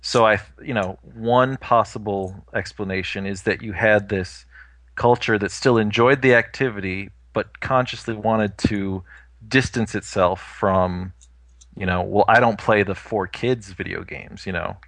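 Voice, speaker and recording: A man's voice: on the low side, fairly even intonation, unhurried, a bit bright, no echo. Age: 30s. Sex: man. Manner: rambling, thoughtful. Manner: thoughtful, rambling, many "you know" fillers. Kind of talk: casual chat.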